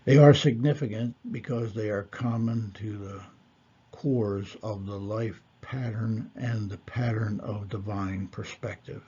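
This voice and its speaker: Man, husky low voice, very slow, deliberate lecturing, dull lo-fi recording. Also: fairly even intonation, dark tone. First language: not Hebrew. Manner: measured, deliberate, with fillers and pauses. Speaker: Torah teacher.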